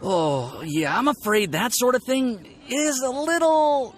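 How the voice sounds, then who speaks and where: A man's voice: very high-pitched, lively intonation, takes it slowly, slight background noise, full character voice. A man voicing a nervous, mild young male alchemist in an anime-style game, awkward and stammering. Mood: nervous, reluctant. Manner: stammering, awkward, theatrically startled.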